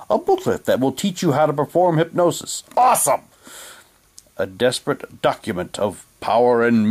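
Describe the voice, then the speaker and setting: A man doing a casual unboxing, talking in a halting way and reading packaging text aloud like a hammy radio announcer. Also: medium pitch, darkish tone. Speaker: vlogger unboxing a collectible audio drama CD.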